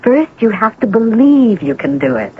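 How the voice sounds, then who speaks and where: An actress in a radio mystery playing a coolly persuasive woman who coaxes in a measured, insistent way. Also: on the high side, big pitch swings. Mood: coaxing. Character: coolly persuasive woman coaxing another toward wrongdoing. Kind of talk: radio mystery drama acting. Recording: noisy, thin, phone-like old radio recording.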